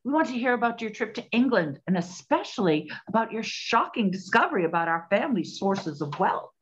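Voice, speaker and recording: A woman playing a plucky, practical 1920s detective and bossy sister in a period drama, with brisk, slangy talk. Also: medium pitch, big pitch swings, lo-fi sound, lightly acted. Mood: curious. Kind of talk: scripted period radio drama acting.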